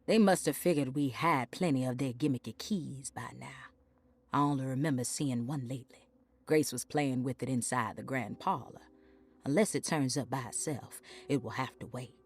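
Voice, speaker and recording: A worried woman narrating her diary in a video game. Her voice is very deep for a woman, and her measured delivery slips into hushed whispers. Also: husky, full character voice. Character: anxious woman reading her worried diary entry aloud. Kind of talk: scripted video game voice acting.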